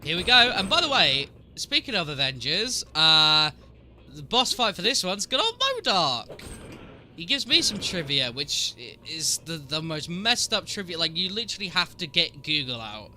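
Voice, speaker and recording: A man's voice: a little high, big pitch swings, moderate pace, bright, forceful tone, slight background noise. A man with a cold and a sniffly voice, chatting casually with his stream viewers while answering game trivia aloud. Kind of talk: casual live-stream commentary.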